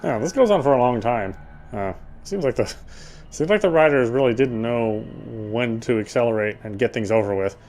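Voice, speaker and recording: Male game player, mid-pitched voice, dramatic read-aloud of story narration, slight background noise. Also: animated, moderate pace, a little mellow.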